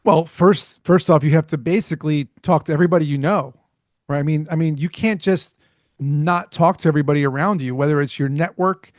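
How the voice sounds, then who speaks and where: A man, a startup founder, talking as a podcast guest in an energetic, fluent way with rhetorical questions. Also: fairly high pitch, brisk, no echo, clean studio sound. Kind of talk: casual interview chat.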